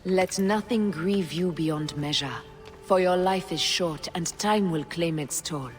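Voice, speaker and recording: Female assassin role for an actress: solemn, deliberate, breathy, unhurried game drama delivery, music behind. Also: lowish voice, close to an everyday voice. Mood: solemn resolve. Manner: grave, deliberate, formal. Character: solemn, resolute woman assassin, betrayed former servant of queen. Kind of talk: dramatic video game acting and narration. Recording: music under the voice.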